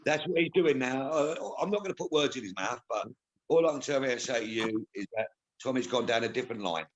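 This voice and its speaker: Husky-voiced emphatic man. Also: medium pitch, animated, fast, dull audio. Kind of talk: casual chat on a group video call.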